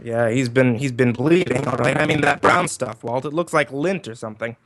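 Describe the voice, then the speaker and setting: A man's voice: mid-range voice, normal speed, fairly bright tone, close to an everyday voice. Man playing a wry, laid-back private eye. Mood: wry, inquisitive. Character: wisecracking, flirtatious male private detective, ex-cop. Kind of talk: 1940s detective radio drama. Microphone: livestream performance.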